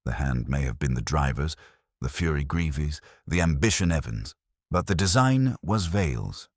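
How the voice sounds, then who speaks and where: A man's voice: extremely low pitch, animated, normal speed, no room sound, studio quality. A man reading the part of a stern, imperious older detective in formal, clipped, declarative sentences for an audio mystery. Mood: certain, accusing.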